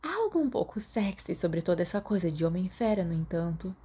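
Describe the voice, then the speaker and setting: A woman's voice: lowish voice, very animated, a little fast, mellow, breathy edge. A woman with a Brazilian accent voicing a flippant, gossipy teenage girl who chatters on. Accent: Brazilian Portuguese.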